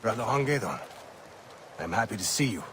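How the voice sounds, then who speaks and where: Solemn deep-voiced Native warrior. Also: male voice, big pitch swings, fairly bright tone, a little hiss or hum. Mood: concerned, earnest. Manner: measured, formal speech. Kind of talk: video game dramatic acting.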